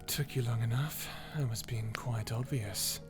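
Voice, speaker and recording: A woman's voice: a bass voice, fairly even intonation, a little slow, faint music behind, voice pushed down. A woman voicing an edgy, sly male vampire in a character audio roleplay, with a smug, drawling, sarcastic manner. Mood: smug, dry.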